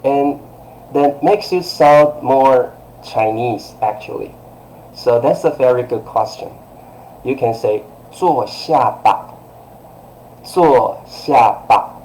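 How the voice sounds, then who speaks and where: Slow, patient man teaching a language lesson. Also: mid-range voice, darkish tone, slight background noise, low-quality recording. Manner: patient, repetitive, fillers like okay and uh. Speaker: Chinese teacher. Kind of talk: live-streamed language lesson. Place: small room by a curtained window. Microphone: webcam close-up.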